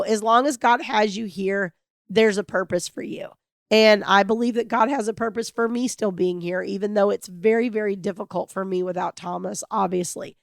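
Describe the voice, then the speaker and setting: A middle-aged woman hosting a podcast alone, talking in an earnest, reflective and hopeful way, her voice raspy from a cold. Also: mid-range voice, no echo, clean studio sound. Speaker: podcast host. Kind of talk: heartfelt solo podcast monologue.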